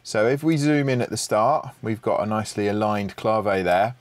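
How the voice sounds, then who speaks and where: Low-voiced man thinking through a tutorial. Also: normal speed. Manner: hesitant, thinking aloud. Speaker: audio production tutorial presenter. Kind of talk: unscripted screen-share tutorial.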